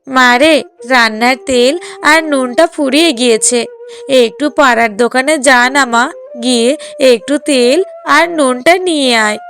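A woman's voice: sits high, moderate pace, a bit bright. A woman playing a middle-aged village mother in a cartoon drama, coaxing in a fretful, affectionate way. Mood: coaxing, worried.

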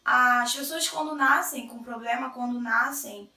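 A young Brazilian woman with a hoarse cold voice, chatting to camera as a vlogger in a chatty, self-interrupting way. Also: a little high, unhurried, sharp tone, far from the mic. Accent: Brazilian Portuguese. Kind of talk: casual chat to camera. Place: small room indoors. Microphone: camera in front at medium distance. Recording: echoey room, a little off-mic.

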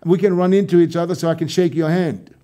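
A man hosting a podcast over a video call, his voice sitting fairly high. He talks in a loose, easygoing way and jokes with his guests. The call audio is that of a webcam setup. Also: steady tone, fast, mellow. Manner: laid-back, joking. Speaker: podcast host. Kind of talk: casual video-call chat. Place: indoor room.

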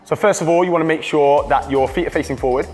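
A man's voice: a little high, quick pace. British man coaching a workout. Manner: steady coaching cues. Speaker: fitness coach. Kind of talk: instructional workout voice-over. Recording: reverberant hall with background music.

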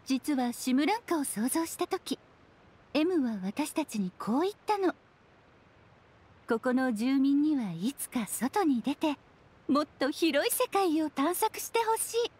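A woman playing a playful, mysterious, self-assured witch in an anime video game, with a teasing, unhurried delivery. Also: sits high, big pitch swings, a little mellow. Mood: serene explaining.

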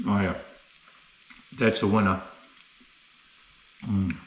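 A man's voice: on the low side, fairly even intonation, takes it slowly, breathy edge, rough recording. A man narrating a casual camping and hiking vlog to camera in a chatty, understated way, often adding little tag questions. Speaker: solo hiking vlogger.

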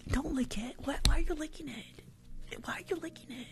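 A young woman in a playful, chatty ASMR medical roleplay, speaking in a breathy whisper close to the microphone and repeating words as she goes. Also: sits high, a little fast, loud music behind the voice. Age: young adult. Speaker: ASMR performer playing a doctor. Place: small room at home. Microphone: large desk microphone, close.

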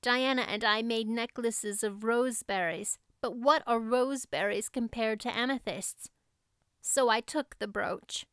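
A woman's voice: a little high, measured pace, clean tone, no room sound, clean studio sound, lightly acted. A woman voicing an imaginative, earnest young girl with a theatrical, pleading manner, reading from a storybook. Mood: solemn, dreamy.

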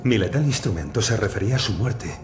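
A man's voice: on the low side, expressive, fast, noisy background. An actor playing a gritty, determined male ghost detective in a dramatic video game. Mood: grim resolve. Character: gruff, determined ghost detective, adult man. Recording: loud music behind the voice.

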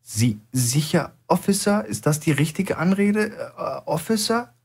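A man voicing a nervous, timid man with a shaky, stuttering, shrill way of talking. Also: lively intonation, measured pace, gravelly, breathy edge, full character voice. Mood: panicked.

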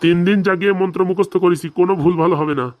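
An actor plays a bumbling old village priest in a comic cartoon. He chatters quickly, at a middling pitch. He is a gluttonous rambler, always full of excuses. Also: fairly even intonation, narrow, tinny audio, natural voice. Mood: reassuring. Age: older. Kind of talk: comic cartoon dialogue in rural Bengali.

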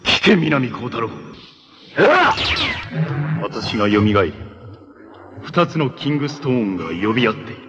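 An actor playing a menacing armored cyborg villain in a 1980s Japanese superhero TV show, making imperious, theatrical proclamations. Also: male voice, lowish voice, expressive, a little slow, long pauses, highs cut off, background noise. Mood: solemn challenge.

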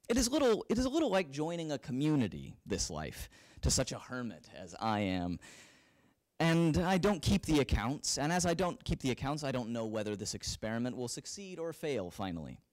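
An earnest, wry nineteenth-century man writing to a friend, read by a young man on stage. His delivery is theatrical and deliberate, with big swings in pitch, heard close and dry on a handheld mic. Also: no echo, natural voice. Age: young adult. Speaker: dramatic reader. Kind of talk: dramatic reading of a 19th-century letter. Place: small library meeting room with audience. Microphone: handheld close to mouth.

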